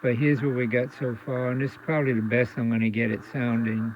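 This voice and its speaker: A man giving a casual, halting demonstration as a repair hobbyist, thinking aloud as he goes. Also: medium pitch. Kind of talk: casual demo narration. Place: indoors, basement. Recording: dull audio with steady background noise.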